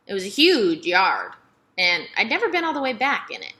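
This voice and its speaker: A woman's voice: mid-range voice, huge pitch swings, moderate pace, pushed and bright. A woman reading a children's novel aloud as scripted first-person narration. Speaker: storybook reader.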